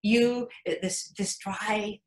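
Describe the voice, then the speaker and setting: A woman acting a tender, excitable new mother in her thirties, telling her baby a story in an intimate, halting theatrical monologue. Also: mid-range voice, reverberant. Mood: awed, tender. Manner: emotive, halting, intimate storytelling with dramatic swells.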